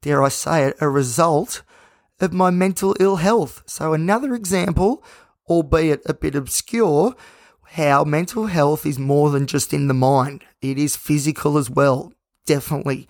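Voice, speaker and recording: A hoarse man hosting his own podcast, talking in a rambling, candid and self-reflective way. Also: a little high, a little slow, a little mellow, dry recording, clean studio sound. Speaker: podcast host. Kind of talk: casual solo podcast monologue.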